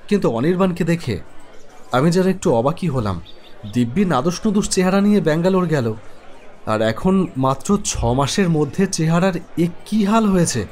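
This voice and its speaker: A young man narrating an animated horror story in the first person, conversational and reflective. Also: a little high, moderate pace. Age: young adult. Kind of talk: scripted storytelling.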